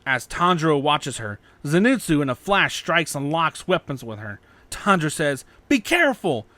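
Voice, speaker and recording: A man reads out a scripted story as narrator. His voice sits a little high for a man, and the sound is dry and close, with the odd stumble in the reading. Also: lively intonation, moderate pace, pushed and bright, no echo. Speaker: fan fiction audiobook narrator.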